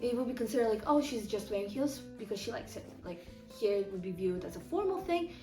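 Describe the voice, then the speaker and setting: A woman from Ukraine explaining things in a relaxed way during an interview. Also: mid-range voice, fast, distant mic, over music. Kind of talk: casual interview answers. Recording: echoey room, off-mic.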